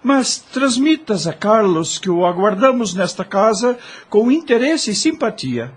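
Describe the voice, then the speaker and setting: Man acting cordial gentleman, high husky voice, businesslike, formal declamation, audio drama. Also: big pitch swings, unhurried, exaggerated voice. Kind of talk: Spiritist radio drama acting.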